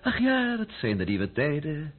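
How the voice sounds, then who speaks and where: An actor playing an imperious middle-aged count in a radio play, sardonic and grandiose, with a husky voice that booms when roused. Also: male voice, wildly swooping pitch, unhurried, heavily acted. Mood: suave, mocking. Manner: sardonic, grandiose, swinging from smooth to roaring. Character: imperious, blustering middle-aged count, powerful and quick to thunder. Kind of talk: Dutch radio drama acting. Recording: muffled, band-limited old radio recording.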